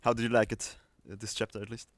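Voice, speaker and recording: Low, smooth-voiced man chatting casually. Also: flat delivery, fast talker, no echo. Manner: hesitant, rambling. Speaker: livestream host. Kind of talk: casual unscripted livestream chat.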